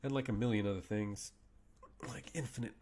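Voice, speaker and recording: A man's voice: on the low side, a little fast, dark tone. A man in his thirties streaming a strategy game from a small room at home, muttering and thinking aloud as he plays. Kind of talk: casual gameplay commentary. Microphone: desk mic with webcam.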